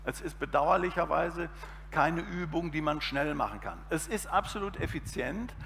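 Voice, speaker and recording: A man's voice: fairly high pitch, animated, normal speed, vocal fry. A man in his fifties giving a talk on a conference panel, gesturing animatedly as he speaks on an outdoor event stage. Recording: reverberant PA sound with faint background noise.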